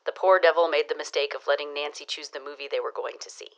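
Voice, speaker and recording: A low-pitched female gossip in a period audio drama. She is arch and knowing, dishing local romance news with sly, teasing relish. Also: fairly even intonation, quick pace. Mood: wry, amused.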